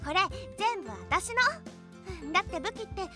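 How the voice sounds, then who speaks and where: A woman acting a bubbly, clumsy young student in an anime radio drama, excitable and exclaiming. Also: very high-pitched, normal speed, music in the background. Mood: cheerful, flustered.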